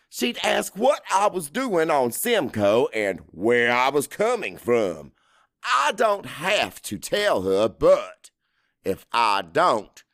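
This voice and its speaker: Man acting a brooding, slow-talking Cajun father. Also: mid-range voice, a bit bright, dry recording, studio quality, full character voice. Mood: brooding, uneasy. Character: middle-aged Cajun father, anxious, self-doubting, guarded schemer. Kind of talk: first-person dramatic audiobook narration.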